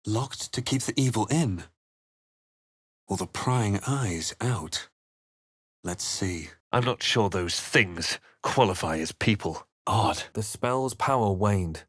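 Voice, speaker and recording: A man playing a brooding, wry adventurer hero in a fantasy game, speaking in a measured, grave, literary way. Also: on the low side, expressive, a bit bright, husky, dry recording, clean studio sound, heavily acted. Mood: wry, musing. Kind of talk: scripted game voice lines and narration.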